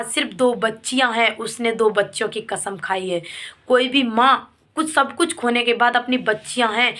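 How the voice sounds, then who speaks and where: A woman's voice: high voice, pushed and bright. A woman giving an informal opinion vlog, speaking emphatically with repeated phrases in a reproachful, pleading way. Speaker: vlogger commenting on a family controversy. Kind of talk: informal opinion vlog addressed to viewers and a person involved.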